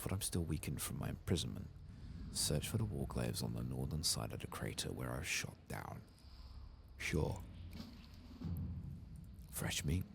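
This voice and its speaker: A man speaking softly in an ASMR game playthrough, often sinking into a whisper, with an unhurried, hushed, muttering delivery. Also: rumbling low, vocal fry, background noise. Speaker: ASMR gaming host.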